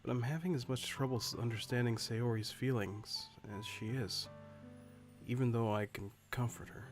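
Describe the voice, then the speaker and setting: A man playing an earnest, worried high school student who narrates a visual novel in the first person. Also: darkish tone, close to an everyday voice. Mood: troubled, brooding.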